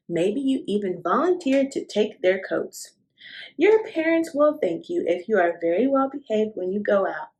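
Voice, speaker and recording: A woman's voice: fairly high pitch, darkish tone. A woman reading a picture book aloud to young children, speaking in an encouraging, instructive way and addressing the listener directly. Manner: encouraging, instructive, asks the listener questions and affirms. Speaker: host of a children's read-aloud show.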